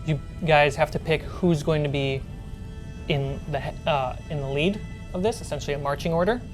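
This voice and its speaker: A low-pitched woman acts as game master for a tabletop role-playing session. She explains the scene step by step, with music playing behind her. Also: moderate pace. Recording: background music under the voice.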